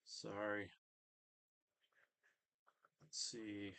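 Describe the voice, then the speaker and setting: A man's voice: lowish voice, monotone, very slow, gravelly, sharp tone, no room sound. A man hosting a live stream in a casual, distracted, muttering way.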